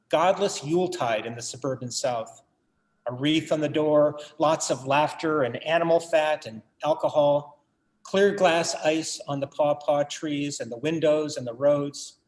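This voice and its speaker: An actor in a staged reading, playing a bereaved writer son who tells his family story in a measured, literary, wry way. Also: a little high, a little mellow, lightly acted. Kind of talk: dramatic monologue in a staged reading.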